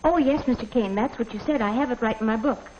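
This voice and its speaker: An actress playing a polite, earnest young American office worker, narrating a 1950s training film in a measured, proper way. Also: female voice, on the high side, a little hiss or hum, close to an everyday voice. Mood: polite, defensive. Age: young adult. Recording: dull 1950s film soundtrack, music behind.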